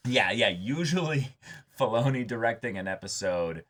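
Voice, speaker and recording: A man hosting a reaction show, chatting casually in an excitable, rambling way. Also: medium pitch, normal speed. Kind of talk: casual chat, TV episode reaction.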